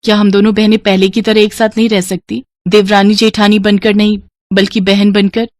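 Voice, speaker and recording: A woman voicing a gentle, devoted young married younger sister who speaks politely and earnestly in a story. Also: mid-range voice, little pitch movement, a little fast, no echo, close to an everyday voice. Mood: heartfelt pleading.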